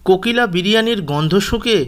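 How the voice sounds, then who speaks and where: A man's voice: high voice, fast, fairly bright tone, smooth. A man narrating a scripted children's cartoon story as its storyteller.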